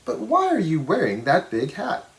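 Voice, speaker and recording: A man voicing a caring, gently questioning mother in a children's storybook reading. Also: high-pitched, huge pitch swings, moderate pace, gravelly, voice pushed up, full character voice. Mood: concerned.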